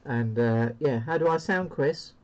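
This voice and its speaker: A man's voice: a little high, measured pace, lo-fi sound. A man giving a casual, unscripted CB radio demo in a hesitant, rambling way. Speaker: CB radio hobbyist presenting a demo. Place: indoor radio room.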